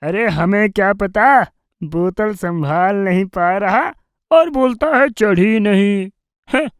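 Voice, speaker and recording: An actor plays a young sidekick in a horror audio story, cheeky yet easily frightened. The talk is colloquial and full of questions, drawn out slowly in a dry, close recording. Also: medium pitch, smooth, no echo, lightly acted.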